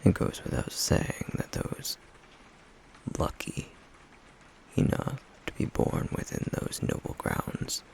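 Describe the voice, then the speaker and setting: A man playing a stoic, guarded flame demon in an ASMR roleplay, in a breathy, gravelly near-whisper with halting, measured pauses. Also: a little hiss or hum, lightly acted, poor audio.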